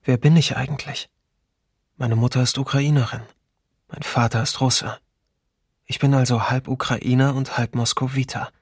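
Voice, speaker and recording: Husky, brooding man. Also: medium pitch, steady tone, moderate pace, long pauses, no echo, clean studio sound. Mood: bitter, brooding. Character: bitter, ironic half-Ukrainian, half-Russian man questioning himself. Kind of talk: reflective radio play monologue.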